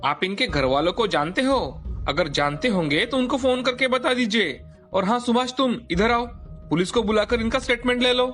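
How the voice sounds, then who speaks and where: A man playing an authoritative hospital doctor in a storybook moral tale, with a brisk, businesslike manner. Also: very high voice, animated, fairly bright tone, soft background music. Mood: brisk, instructing. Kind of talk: dramatized Hindi moral story.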